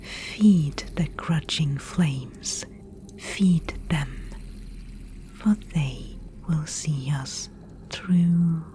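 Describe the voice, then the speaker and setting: A woman voicing a mysterious, solemn Spindlemaid guide in a whispered ASMR dark-fantasy roleplay, with breathy, incantatory, archaic speech. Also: low-pitched, slight background noise. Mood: eerie chanting. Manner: slow, incantatory, archaic phrasing.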